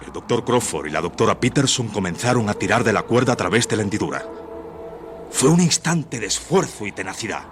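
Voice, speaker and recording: A man's voice: lowish voice, lively intonation, a little fast, soft background music. A man narrating a suspense radio drama in a solemn, theatrical, scripted style.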